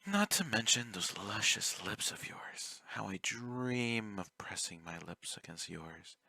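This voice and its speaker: Casting: male actor as obsessive yandere stalker for ASMR roleplay; low, close and dry, coaxing, politely menacing. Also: animated, slow pace, vocal fry, sharp tone, breathy edge, dry recording, exaggerated voice. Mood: longing, veiled threat. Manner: intimate, coaxing, courteous while threatening. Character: obsessive, clingy, soft-spoken young male yandere fan, politely menacing.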